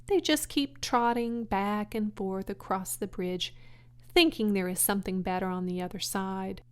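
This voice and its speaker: A woman's voice: medium pitch, measured pace, clear, resonant tone, lightly acted. A woman voicing a wise, kindly female spider in a children's storybook, matter-of-fact and articulate. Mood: opinionated.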